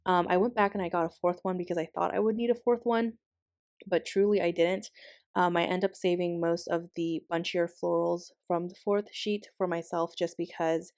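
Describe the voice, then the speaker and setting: Hoarse young woman chatting in a dry bedroom. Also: on the low side, a little mellow, no room sound. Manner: chatty, rambling, with many ums and likes. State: hoarse, losing her voice. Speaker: planner channel host. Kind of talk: casual voiceover vlog.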